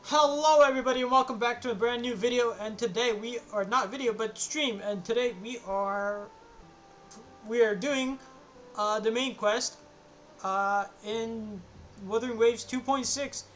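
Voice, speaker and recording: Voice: mid-range voice, unhurried, a bit bright, a little hiss or hum. A game streamer chatting casually on a livestream. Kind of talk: casual livestream chat with gameplay.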